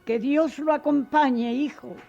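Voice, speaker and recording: Kindly teacher for radio drama: solemn, caring, mellow, dull lo-fi sound. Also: female voice, high-pitched, steady tone, slow pace, gravelly, soft background music, heavily acted. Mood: concerned, tender. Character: kind, motherly, concerned schoolteacher.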